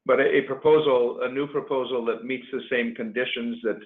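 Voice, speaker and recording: Male moderator, mid-range pitch, measured and halting formal questioning, roomy echo on telephone-grade audio. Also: fairly even intonation. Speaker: webinar moderator. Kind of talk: formal policy interview questioning. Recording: echoey, tinny phone-like line.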